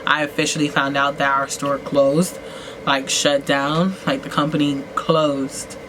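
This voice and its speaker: Rambling woman vlogger over steady noise. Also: measured pace. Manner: rambling, full of "like" and restarts; swoops up in pitch for emphasis. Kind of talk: casual storytelling while getting ready. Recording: noisy home recording.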